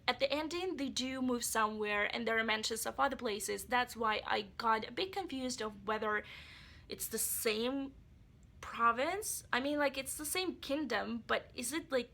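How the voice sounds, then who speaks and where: A woman's voice: on the high side, moderate pace, vocal fry, pushed and bright. A woman giving a chatty, rambling book review and speaking straight to her viewers, her voice a bit off from being sick. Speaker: book vlogger. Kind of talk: casual book review vlog.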